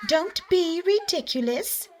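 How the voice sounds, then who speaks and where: Woman putting on high twittering storybook canary. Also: lively intonation, takes it slowly, background noise, full character voice. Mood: teasing. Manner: twittering, teasing. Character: tiny giggling caged canaries, teasing.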